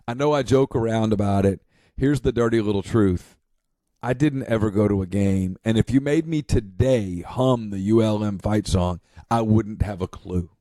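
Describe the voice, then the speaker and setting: Man, podcast co-host, low pitch, mellow tone, dry sound, casual delivery. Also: dry recording. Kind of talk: casual storytelling.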